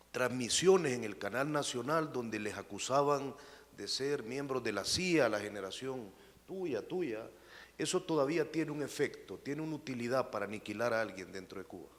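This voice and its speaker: A man's voice: mid-range voice, animated, moderate pace. A man from El Salvador, earnestly asking the panel a question. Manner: earnest, uses vos. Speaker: audience questioner. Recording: reverberant hall with faint noise.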